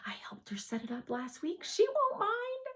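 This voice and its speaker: A woman's voice: extremely high pitch, huge pitch swings, moderate pace, bright, forceful tone, voice pushed up, exaggerated voice. A woman voicing a friendly, forthright schoolgirl in a storybook read-aloud, casual and encouraging. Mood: coaxing.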